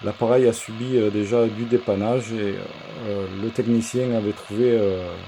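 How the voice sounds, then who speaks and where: Guy with a mellow dark voice doing a hands-on workshop demo, kinda halting, some background noise. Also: lowish voice, fairly even intonation. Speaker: presenter, radio repair technician. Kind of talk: unscripted workshop demonstration. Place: indoor electronics workshop.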